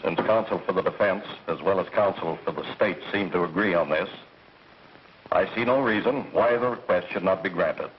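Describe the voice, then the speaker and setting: A muffled, noisy 1930s film soundtrack carries a low-pitched, stern judge acted by a man. He is American and speaks with solemn, measured formality, as if every phrase were an official ruling. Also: lightly acted. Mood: judicial.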